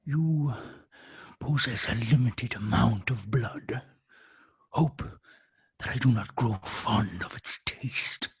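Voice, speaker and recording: A man acts a menacing alien captain in a screenplay read, very husky and dry. He is a cruel, clawed carnivore leader who speaks slowly and formally, every phrase a threat. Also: mid-range voice, dry recording, full character voice. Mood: hushed menace. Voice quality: gravelly, husky.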